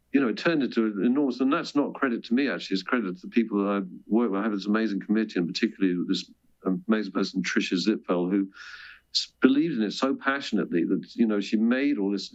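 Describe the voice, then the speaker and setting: A man's voice: lowish voice, quick pace, dull audio. A British man talking as an interview guest, telling stories in a rambling, self-interrupting, self-deprecating way. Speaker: guest, composer and choir director. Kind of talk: interview conversation, personal storytelling.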